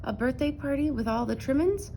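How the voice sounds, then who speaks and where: A woman's voice: high voice, expressive, a little fast, gravelly, dark tone, slight background noise, pitched above the performer's own voice. A woman voicing an eager five-year-old girl bear cub in a storybook, childlike and plaintive. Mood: eager, excited.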